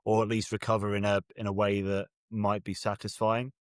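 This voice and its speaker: A man's voice: on the low side, completely flat delivery, a little fast, no echo, clean studio sound. A British man talking casually as a guest author, wry, often hesitating and correcting himself mid-thought. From Birmingham, England. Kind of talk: casual interview conversation.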